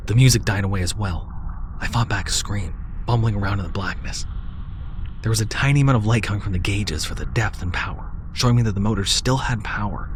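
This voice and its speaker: Hushed low-voiced male horror narrator. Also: background noise. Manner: low, hushed and tense, slipping into whispers.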